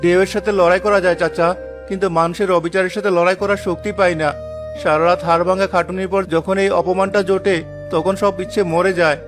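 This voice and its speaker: A man's voice: sits high, little pitch movement, fast, music in the background, natural voice. A man voicing a poor, honest young fisherman in a cartoon, weary and plaintive, with an earnest manner. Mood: weary, despairing. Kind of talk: dramatised cartoon dialogue.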